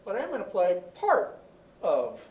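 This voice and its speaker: A man's voice: high-pitched, wildly swooping pitch, unhurried, dull audio. A male teacher explaining a history lesson and asking his class questions. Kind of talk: classroom lecture. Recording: echoey classroom, far from the mic.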